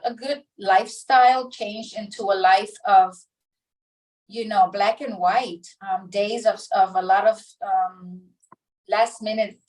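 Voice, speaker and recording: A middle-aged woman from the Dominican Republic, an interview guest telling her life story in a halting, reflective way with many restarts and pauses. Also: medium pitch, recorded from a distance, low-quality recording.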